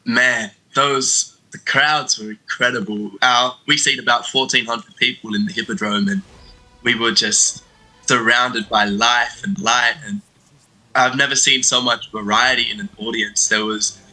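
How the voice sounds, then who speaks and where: Very bright young australian man. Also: medium pitch, steady tone, a little slow, rough recording. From Sydney, Australia. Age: 20s. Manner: friendly, loose, many fillers. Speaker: stage actor and dancer, guest. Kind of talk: interview answers. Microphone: video call.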